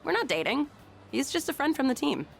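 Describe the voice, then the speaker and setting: A woman's voice: sits high, big pitch swings, brisk, creaky, soft background music, full character voice. A young woman voicing an easygoing, frank, sporty teenage schoolgirl in a video game, with casual delivery. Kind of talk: video game character dialogue.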